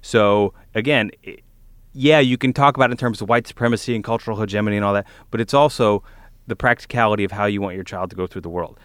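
A man with a fairly low voice talks through his points on a casual panel podcast. The sound is dry and close, and he explains things as he thinks them out. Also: quick pace, a bit bright, clear, resonant tone, no room sound. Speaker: podcast co-host.

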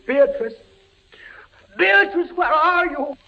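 Fevered captive captain, wildly swinging pitch. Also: male voice, lively intonation, creaky, soft background music, full character voice. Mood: frantic. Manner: theatrical, halting, anguished. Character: condemned captive army captain, fevered and terrified. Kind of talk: 1940s radio suspense acting, narration and dialogue. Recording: noisy, muffled 1940s radio recording.

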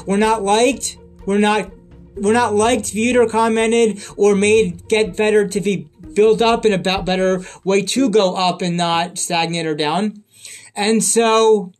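A man giving a rambling solo update on his podcast and videos, often repeating and restarting his phrases. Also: sits high. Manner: repetitive, restarts phrases, earnest.

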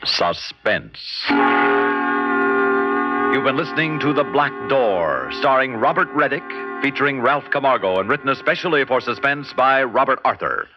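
Old radio announcer guy, formal and dramatic, muffled sound, loud music behind him. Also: medium pitch, lively intonation, moderate pace. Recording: muffled vintage radio recording.

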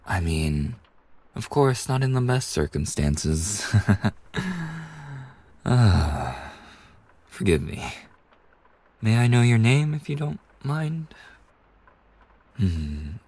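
A man acts out a kind young male bartender for an ASMR roleplay. His voice is deep and soft, with a husky breathiness. He takes his time, pausing hesitantly, and stays polite and attentive throughout. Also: no room sound, exaggerated voice. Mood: gentle, welcoming. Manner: courteous, soothing, hesitant pauses. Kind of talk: ASMR roleplay, soft intimate scripted acting.

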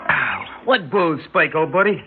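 A man's voice: a little high, animated, measured pace, soft background music. A man playing a cocky young gang leader in a radio drama, taunting in slangy, mock-polite talk. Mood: cocky, bored. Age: young adult. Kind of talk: 1960s radio drama acting. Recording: muffled, noisy old radio recording.

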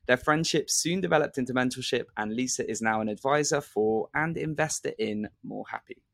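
A man's voice: mid-range voice, a little fast, gravelly, a bit bright, no room sound. A man co-hosting a podcast, reading an upbeat scripted introduction of the guests. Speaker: podcast co-host.

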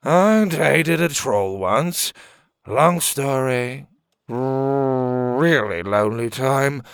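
A man voicing an eccentric, ancient male wizard in a storybook reading, fussy and bossy, with a creaky, drawn-out delivery. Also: medium pitch, expressive, a bit bright, no room sound, clean studio sound, pitched above the performer's own voice, heavily acted. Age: older. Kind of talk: storybook character voice.

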